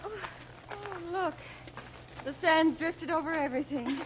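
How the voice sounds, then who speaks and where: A woman's voice: very high-pitched, slow pace. A woman playing a fretful, genteel mother in an old radio adventure serial, with a sighing, plaintive delivery. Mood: worried. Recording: muffled, very noisy old radio recording.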